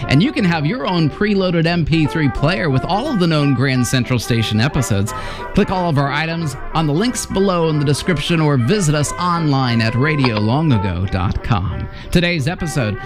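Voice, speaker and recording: A man's voice: on the high side, expressive, moderate pace. A man hosting, reading scripted narration. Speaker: podcast host. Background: music.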